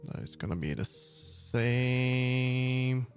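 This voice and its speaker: A man chatting casually on a livestream as he works, often halting and muttering to himself. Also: medium pitch, expressive. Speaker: livestreamer making a game. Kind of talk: casual livestream chat while working. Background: background music.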